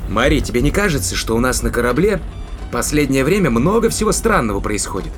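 A man's voice: medium pitch, big pitch swings, fairly quick, soft background music, lightly acted. A man playing an inquisitive spaceship captain in a science fiction audio drama, commanding and thinking aloud. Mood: pensive, suspicious.

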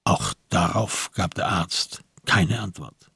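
A man as the sober narrator of a radio crime drama, telling the story in measured literary prose. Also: low voice, big pitch swings, gravelly, pushed and bright, slightly rough, dry recording, studio quality, full character voice.

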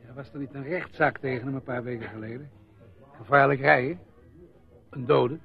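An actor playing a grumbling, sceptical village doctor and pub regular in a radio drama, with a gruff, scoffing manner. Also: male voice, mid-range voice, moderate pace. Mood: prying. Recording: dull old radio play recording.

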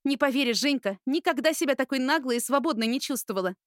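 A woman voicing a caring, cheeky older sister in an audiobook, with a playful, animated manner. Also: high voice, fast talker, dry recording, clean studio sound, voice pushed up. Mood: confiding. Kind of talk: audiobook character acting.

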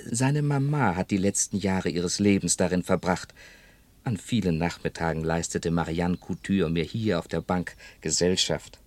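A man's voice: low-pitched, moderate pace, no echo, natural voice. An actor playing a talkative, gossipy elderly man in a radio drama, chatty and theatrical, quoting others vividly. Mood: wistful, confiding. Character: talkative elderly Frenchman, gossipy, fond of late neighbour.

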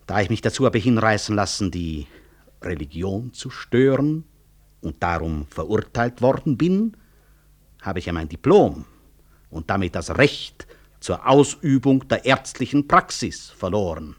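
An actor playing a middle-aged medical professor in a radio drama, dryly courteous and sardonic. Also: male voice, fairly low pitch, very animated. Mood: ironic. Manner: dry, sardonic, measured courtesy. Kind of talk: 1960s German radio drama acting.

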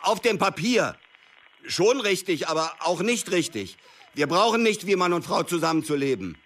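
An actor playing an earnest, protective man in radio drama dialogue, speaking in a halting way. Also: high voice, sing-song, a bit bright, heavily acted. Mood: careful, earnest.

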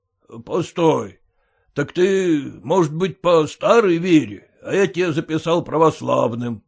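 A man's voice: on the high side, animated, measured pace, vocal fry, slightly rough, exaggerated voice. A man voicing a stern, bossy male merchant who questions his clerks curtly, in a story reading. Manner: brusque, commanding.

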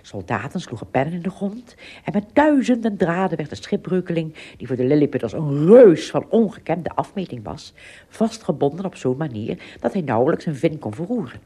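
A woman with a lowish, mellow voice tells a children's story. Her delivery is animated, full of dramatic pauses and small asides, with a slightly husky tone. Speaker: narrator. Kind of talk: scripted children's story narration.

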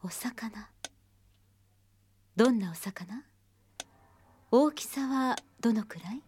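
Slow, measured hypnotherapist. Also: female voice, a little high, slight background noise. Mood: calm, probing. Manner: measured, gently probing questions. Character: calm female therapist guiding a patient in trance. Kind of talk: radio drama acting.

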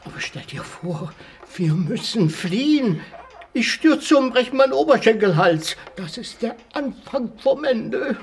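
A man's voice: very high voice, lively intonation, unhurried, breathy edge, full character voice. An actor in his sixties playing a timid, fussy pensioner who stammers and protests in a radio crime drama. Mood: nervous. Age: 60s. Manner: flustered, stammering, whiny protesting. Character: timid, fussy old pensioner, reluctant sidekick.

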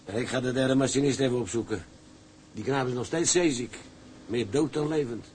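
A man's voice: medium pitch, normal speed, a little mellow, slight background noise, close to an everyday voice. An actor playing an eager, chatty crewman on a sea tug, with a Dutch accent. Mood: knowing, chatty. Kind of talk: film drama acting.

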